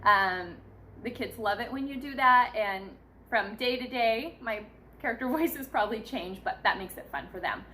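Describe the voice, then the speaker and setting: A woman's voice: fairly high pitch, expressive, moderate pace, bright, forceful tone, a little hiss or hum. A woman in her thirties, a mother giving casual, encouraging tips in a chatty vlog style. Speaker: mother sharing parenting tips. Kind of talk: casual instructional vlog talk.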